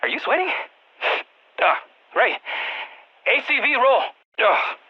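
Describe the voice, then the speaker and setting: An actor playing an anxious, excitable nerdy scientist in a video game, speaking over a radio, expressive and prone to stammering and repeating words. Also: medium pitch, slow, breathy edge, dull audio, exaggerated voice. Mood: squeamish. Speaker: field research scientist.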